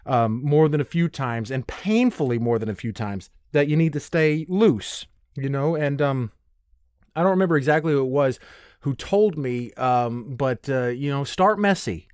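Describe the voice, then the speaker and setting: A man talks as a solo podcast host in a close, dry recording. His delivery is loose and rambling, drifting into tangents, with a playful and self-deprecating streak. His pitch sits in an ordinary middle range for a man. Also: moderate pace, darkish tone, clear, resonant tone, no echo. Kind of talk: casual solo podcast monologue.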